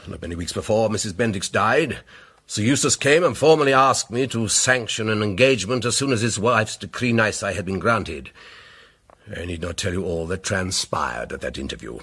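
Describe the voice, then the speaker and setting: An actor playing a pompous, self-assured older English gentleman lawyer in a radio drama, setting out his case with rhetorical deliberation. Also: fairly low pitch, animated, normal speed, breathy edge, full character voice. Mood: grave, assertive.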